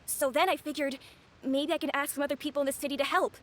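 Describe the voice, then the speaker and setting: A young boy from an anime game, high and boyish. The character speaks earnestly and politely, with a worried, pleading manner. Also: fairly even intonation, quick pace, a bit bright, a little hiss or hum.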